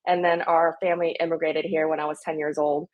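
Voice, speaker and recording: A woman's voice: sits low, level tone, fast talker, a little mellow. A woman in her thirties, born in Korea, talking upbeat and enthusiastic as a restaurant-owner guest in a casual podcast interview.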